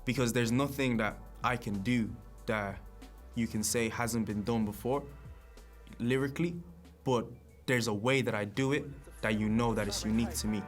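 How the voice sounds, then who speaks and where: A young man from Nigeria, a rapper speaking in a documentary interview in a relaxed, reflective way, often chuckling. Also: mid-range voice, moderate pace, soft background music.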